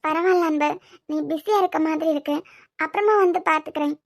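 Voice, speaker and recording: A woman's voice: high voice, fairly quick. A woman playing a tearful young woman in love, pleading with the man she loves. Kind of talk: romantic audio drama acting.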